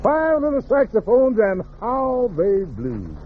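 A man's voice: extremely high pitch, wildly swooping pitch, slow pace. A man announcing acts as master of ceremonies on a 1940s radio variety show, with showy, wordy introductions. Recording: muffled, noisy vintage radio recording.

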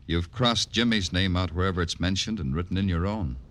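An actor playing a hard-boiled, world-weary police detective in a 1950s radio drama, speaking in a terse, deliberate, brooding way. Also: male voice, low voice, a bit bright. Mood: grim, probing. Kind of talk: 1950s radio noir acting and narration.

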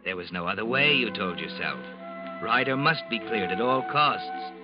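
An ominous male narrator played by an actor for a vintage radio suspense drama. His slightly low voice is dramatic and knowing, with music clearly behind it. The recording is dull and muffled. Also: animated, normal speed, natural voice. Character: ominous second-person narrator of a sea suspense tale.

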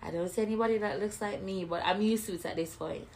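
A woman's voice: medium pitch, quick pace, slight background noise. Woman, playful podcast co-host. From Jamaica. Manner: animated storyteller, playful. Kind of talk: casual chat.

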